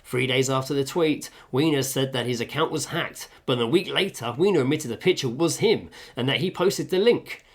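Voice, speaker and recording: A man co-hosting a casual podcast from a home kitchen, reading out stories and chatting, animated and sweary. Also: medium pitch, normal speed, hardly any pauses. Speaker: podcast co-host.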